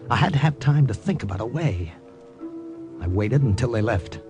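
Tense first-person spy narrator, played by an actor, old-time radio style. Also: male voice, mid-range voice, expressive, normal speed, over music, close to an everyday voice. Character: American spy posing as a Frenchman, narrating. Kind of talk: 1940s radio acting.

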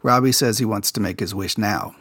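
Low husky man recapping an episode. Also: brisk, no echo, clean studio sound. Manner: relaxed insider storytelling, recounting production details. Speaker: podcast host recapping episodes. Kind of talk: casual episode recap commentary.

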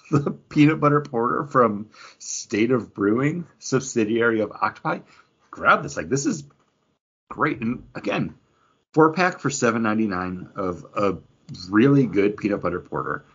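A man's voice: lowish voice, fairly bright tone, breathy edge, dull audio. A man hosting a podcast, giving casual, enthusiastic reviews in an informal, emphatic way. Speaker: podcast host reviewing beer and comics.

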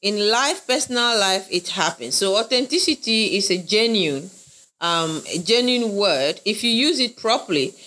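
Woman from Ghana, interview guest, earnest and bright, circles back on her points. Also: medium pitch, lively intonation, normal speed, rough recording. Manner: earnest, repeats phrases, laughs often. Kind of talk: interview conversation.

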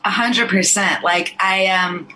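A woman's voice: medium pitch, unhurried, sharp tone, a little hiss or hum, low-quality recording. A young American woman, an actor whose first language was Farsi, chatting casually and rambling in an interview. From United States. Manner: chatty, rambling, says "like" a lot. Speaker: actor, guest.

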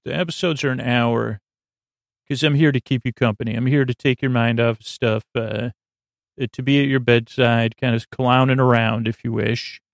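A middle-aged man with a creaky, gravelly voice hosts a bedtime podcast, rambling haltingly and interrupting himself as he goes. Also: mid-range voice, no echo, studio quality. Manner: meandering, halting, self-interrupting. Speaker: podcast host.

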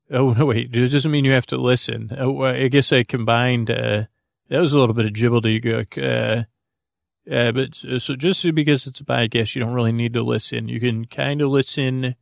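A man with a heavily creaky, gravelly voice talks as the host of a bedtime podcast. He rambles and halts, goofily drifting off on tangents as he improvises. The sound is dry and close, at a middling male pitch. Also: no room sound. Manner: meandering, halting, goofy, trails into tangents.

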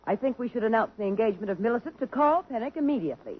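A haughty society mother, scolding with a husky, lively voice that swings up and down. It sounds like a dull old radio broadcast. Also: fairly high pitch, rapid-fire. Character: stern high-society mother.

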